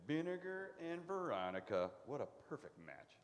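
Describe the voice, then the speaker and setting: A man's voice: on the high side, very animated, normal speed. A man playing an exasperated, argumentative festival announcer in a comedic radio play. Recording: echoey hall, some background noise.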